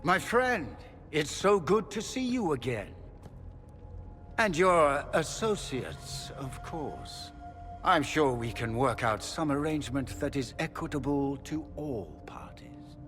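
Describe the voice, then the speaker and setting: An actor playing a middle-aged, courtly and condescending magister, a scheming villain in a fantasy video game. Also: male voice, a little high, very animated, slow pace, a bit bright, full character voice. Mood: unctuous welcome. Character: haughty, scheming Tevinter magister, smooth-talking villainous father. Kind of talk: dramatic video game acting. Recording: long hall reverb, music behind.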